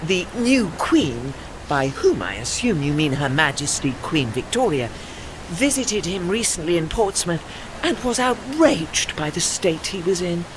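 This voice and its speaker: Man acting dignified old British warship, very animated. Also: high-pitched, measured pace, noisy background. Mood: proud, wistful. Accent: British English. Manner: formal, articulate, pedantic, apologetic. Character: old retired male navy warship, dignified, learned, wistful. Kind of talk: audio drama dialogue.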